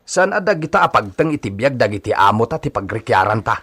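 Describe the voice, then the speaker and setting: An actor with an Ilocano accent playing a loyal, cautious hacienda servant in a radio drama, gently chiding. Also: male voice, mid-range voice, very animated, fast talker, slightly rough. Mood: cautioning.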